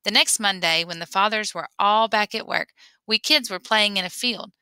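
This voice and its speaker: A woman reading a memoir aloud in an expressive storytelling way. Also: mid-range voice, normal speed, smooth, no echo. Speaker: reader.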